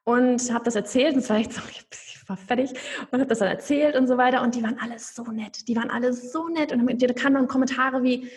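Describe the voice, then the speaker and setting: Woman, young adult, fairly high voice, chatty, casual podcast guest. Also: fast. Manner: lively, chatty, with many fillers and restarts. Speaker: podcast guest, online course creator.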